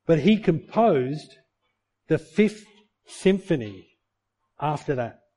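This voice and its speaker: Middle-aged male pastor, warm mellow tone, slightly higher voice, earnest sermon delivery with rhetorical questions. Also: big pitch swings, slow, husky. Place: church.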